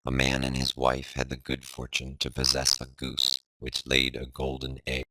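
A man's voice: monotone, moderate pace, pushed and bright, poor audio. A man reading a storybook fable, his voice run through a robotic voice changer. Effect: voice changer, pitched-down robot filter. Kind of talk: scripted storybook narration.

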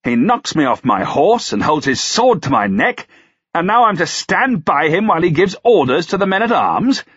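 A man's voice: medium pitch, big pitch swings, normal speed, a bit bright, slightly rough, no echo, muffled recording, voice pushed up. A man voicing an imperious, suspicious medieval duke with archaic, commanding, sardonic speech in an audiobook. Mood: angry, sneering. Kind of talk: dramatised audiobook dialogue.

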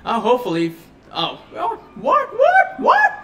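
A man hosting a casual live-stream tech tutorial at his home desk on a studio microphone, chatty and given to excited exclamations. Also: extremely high pitch, a little slow. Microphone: USB studio microphone.

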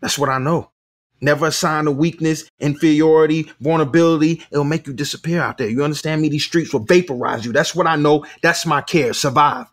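An actor playing a fiercely protective, proud father in an audio drama, confrontational, with street vernacular and a husky voice. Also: fairly high pitch, a little fast, fairly bright tone, lightly acted. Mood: grim, intense. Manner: confrontational, urban vernacular, clipped insistent phrases. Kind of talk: naturalistic audio drama acting.

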